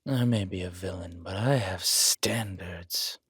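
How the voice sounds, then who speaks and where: A man playing a controlled, vengeful villain king who speaks with measured, quiet menace in an intimate audio roleplay. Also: deep voice, husky, no echo, pitched below the performer's own voice, exaggerated voice. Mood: seething.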